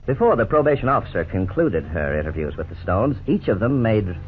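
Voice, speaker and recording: A middle-aged man playing a lawyer who narrates and explains the case in a measured, formal way, in 1950s radio drama style. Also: on the low side, sing-song. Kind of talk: scripted narration. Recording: scratchy 1950s radio broadcast, tinny, faint hiss.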